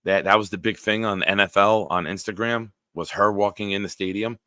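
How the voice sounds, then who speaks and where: A man in his forties hosting a live-stream show, reading viewer comments aloud and bantering bluntly with a smooth, deep voice. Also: fairly even intonation, fairly quick, bright, forceful tone, no room sound, clean studio sound. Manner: banters with chat, blunt and profane.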